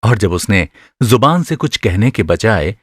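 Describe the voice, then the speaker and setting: Low, expressive male storyteller. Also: slightly rough, dry recording, studio quality. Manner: expressive, measured storytelling in literary phrasing. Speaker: narrator. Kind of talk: dramatised literary short-story narration.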